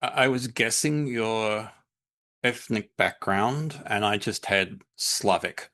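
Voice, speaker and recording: A man's voice: on the low side, slow, a bit bright. Rambling man with a mixed transatlantic accent. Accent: mixed North American and English. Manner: rambling, repeats words when searching for them. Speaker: podcast host. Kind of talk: casual conversation.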